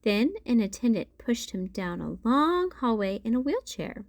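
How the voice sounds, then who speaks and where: A woman narrating a children's storybook as a read-aloud host, in a deliberate storytelling manner. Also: fairly high pitch, sing-song, no room sound.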